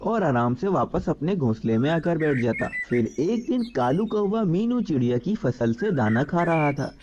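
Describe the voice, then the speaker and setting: A man's voice: fairly high pitch, big pitch swings, moderate pace, a little mellow, lo-fi sound, slight background noise. A man narrating a scripted children's story as the storyteller.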